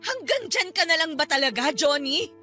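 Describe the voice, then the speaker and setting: Radio drama wife: Filipino accent, extremely high, sharp voice, fed up and emotional, scolds back defiantly. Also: female voice, very animated, moderate pace, soft background music, full character voice. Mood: bitter scolding. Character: fed-up, defiant, hard-working wife and mother.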